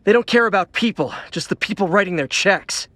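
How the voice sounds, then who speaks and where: An actor playing a brash, earnest young punk rebel hero in a video game, casual and streetwise. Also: male voice, high voice, fairly quick, sharp tone, dry recording. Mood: scornful. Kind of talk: video game character voice lines.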